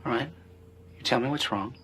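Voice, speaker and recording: A firm, bright-voiced film advisor. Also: male voice, medium pitch, brisk, breathy edge. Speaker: film character giving advice. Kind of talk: film dialogue.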